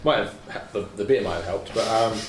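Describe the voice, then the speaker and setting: A man's voice: on the low side, normal speed. A British man chatting casually as a player in a tabletop roleplaying game. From Britain. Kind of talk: casual chat. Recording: echoey, distant mic, faint noise.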